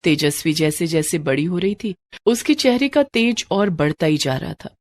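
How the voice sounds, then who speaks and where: A woman's voice: fairly low pitch, animated, normal speed. A woman narrating a scripted story in a dramatic storytelling style. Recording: music bed behind the voice. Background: music.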